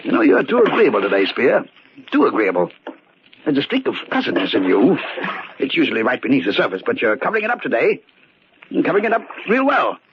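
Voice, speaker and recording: Casting: imperious, rambling rich uncle in a radio play; highish, gravelly voice, noisy old recording. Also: male voice, wildly swooping pitch, fast talker, lo-fi sound. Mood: suspicious, expansive. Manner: imperious, rambling, hemming and hawing. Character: wealthy, fussy, talkative uncle out duck hunting. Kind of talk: old radio drama acting.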